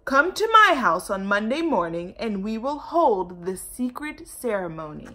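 A woman voicing a sly, honey-tongued cat from a children's storybook, with a grand, coaxing, drawn-out delivery. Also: medium pitch, sing-song, pushed and bright, heavily acted. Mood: coaxing, inviting. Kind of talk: children's storybook read-aloud.

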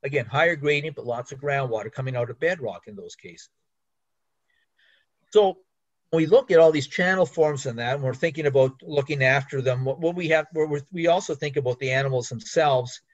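A man's voice: mid-range voice, a little fast, no room sound. An older man giving a slide talk as a fisheries biologist, rambling with restarts, fillers and fishing anecdotes. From Canada. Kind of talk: slide presentation lecture. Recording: dull, slightly muffled recording.

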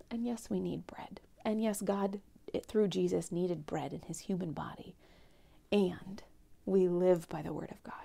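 A young woman giving a gentle Lent reflection at home and reading a picture book aloud, speaking softly and often near a whisper into a lapel mic. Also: mid-range voice, vocal fry. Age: young adult. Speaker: church speaker. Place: home living room, indoors.

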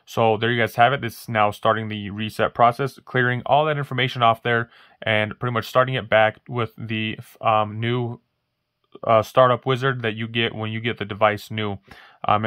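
Flat-voiced man with a rambling how-to delivery. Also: medium pitch, no echo. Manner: rambling, many fillers and restarts. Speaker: tech tutorial host. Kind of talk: casual how-to walkthrough. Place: indoors at a wooden table, voice-over of hands-only phone demo.

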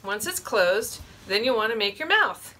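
A woman's voice: fairly high pitch, big pitch swings, moderate pace, bright, forceful tone, slight background noise. A woman in her forties hosting a children's storytime, explaining a simple craft step by step from a small room. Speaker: librarian, storytime host.

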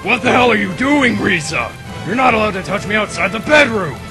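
Guy doing a brash gravelly anime parody officer, hammy and hot-headed, swoops around, music behind. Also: high voice, expressive. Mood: smug. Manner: swaggering, drawn-out melodramatic exclamations, sweary. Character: brash male officer obsessed with miniskirts, hammy, hot-tempered. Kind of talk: comedic abridged anime parody dub acting.

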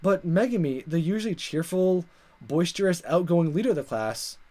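A man reading visual novel text aloud as a let's player, in expressive narration. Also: on the high side, moderate pace. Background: game music.

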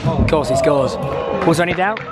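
A young man, hoarse from losing his voice, vlogging excitedly to a handheld camera outdoors at a busy event. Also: fairly high pitch, a little fast, over loud music, over a noisy crowd. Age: young adult. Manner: excitable, chatty, jokey. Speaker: football vlogger. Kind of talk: casual vlog to camera. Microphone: handheld camera at arm's length.

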